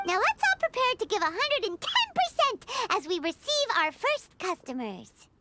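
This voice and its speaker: A woman voicing a tiny, excitable, cheeky fairy companion in a video game, squeaky and childlike, full of exclamations. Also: moderate pace, heavy background noise, full character voice. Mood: mock businesslike. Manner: exclamatory, refers to herself in third person. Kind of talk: video game cutscene acting. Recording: game music playing behind.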